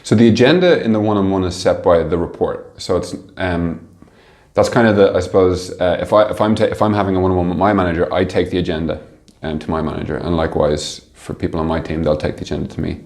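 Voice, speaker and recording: Male interview guest, low register, conversational delivery with halting, think-as-you-go phrasing. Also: steady tone, darkish tone. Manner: hesitant, halting, thinking aloud. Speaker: interview guest, team manager.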